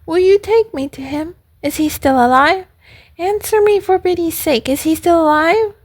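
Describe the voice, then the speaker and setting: A woman's voice: very high voice, moderate pace, pitched above the performer's own voice. A woman voicing an emotional wooden puppet boy from a storybook, plaintive and full of exclamations and questions. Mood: anxious, eager. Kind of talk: storybook character voice.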